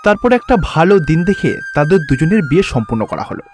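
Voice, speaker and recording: A man's voice: fairly high pitch, sing-song, quick pace, darkish tone. A man reading scripted narration for a cartoon fairy tale as its storyteller. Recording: background music under the voice.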